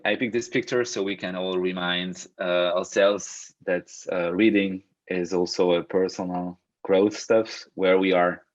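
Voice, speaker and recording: A young man from Belgium with a French accent, whose first language is French, coaching a book club over a video call and talking hesitantly with many fillers and restarts. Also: deep voice. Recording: dull lo-fi video call audio.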